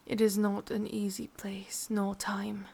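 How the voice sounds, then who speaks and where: A woman with an English accent voicing an ancient, lonely, lovesick witch in a breathy, husky whisper for an ASMR roleplay. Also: mid-range voice, completely flat delivery, dark tone, heavily acted. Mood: melancholy, yearning. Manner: slow, brooding, intimate delivery.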